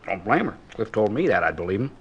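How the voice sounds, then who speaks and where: A man's voice: on the low side, very animated, fast, husky, full character voice. An American man playing a talkative, blunt working-class witness in a 1950s radio police drama, rambling in a folksy, repetitive way. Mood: earnest, grim. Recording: dull, lo-fi 1950s radio recording.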